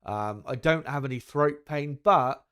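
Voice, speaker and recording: A man's voice: a little slow, a bit bright, clean tone. Young man chatting to viewers. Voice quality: voice deeper than it used to be. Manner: rambling, frequent ums and asides to viewers. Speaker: livestream host. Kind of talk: casual live commentary chat.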